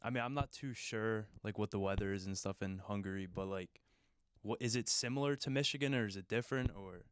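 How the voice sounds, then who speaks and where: A man with an American accent hosts a casual interview podcast. His voice is on the low side and smooth, recorded close and dry, and he chats in an easy conversational way. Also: fairly quick, no echo. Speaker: podcast host.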